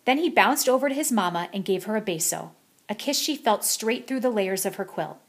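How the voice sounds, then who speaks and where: A woman's voice: medium pitch, lively intonation, brisk, husky. A woman reading a children's picture book aloud as a storyteller.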